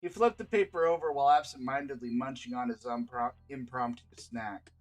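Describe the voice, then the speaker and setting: A man's voice: mid-range voice, fairly quick. A man narrating a written fantasy tabletop story aloud as the game's storyteller. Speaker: game storyteller reading aloud. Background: background music.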